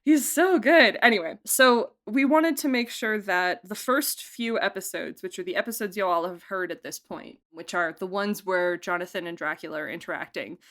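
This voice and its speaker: A woman's voice: medium pitch, fairly bright tone. Enthusiastic woman chatting casually. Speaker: audio drama producer and director being interviewed. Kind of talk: casual behind-the-scenes chat.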